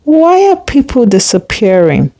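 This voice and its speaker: A woman's voice: on the high side, wildly swooping pitch, measured pace, dark tone, no echo, pitched above the performer's own voice, exaggerated voice. A woman voicing a brave but anxious young village girl in a storybook folktale, earnest and pleading. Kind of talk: storybook character reading.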